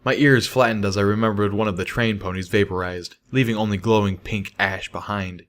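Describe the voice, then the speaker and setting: A man's voice: lowish voice, fairly even intonation, fairly quick. A man reading a first-person fantasy story aloud as scripted narration. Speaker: audiobook reader of fan fiction.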